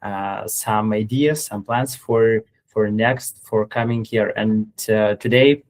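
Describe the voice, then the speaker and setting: Slow-spoken, halting male stream host. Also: on the low side, fairly even intonation. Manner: halting, non-native English phrasing. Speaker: host, educational facilitator. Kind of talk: hesitant, informal stream hosting. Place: online video meeting.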